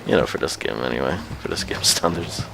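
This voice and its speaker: Deep voiced guy casually talking through a video game, dry banter, music playing behind him. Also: fast talker, vocal fry. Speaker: video game streamer, co-host.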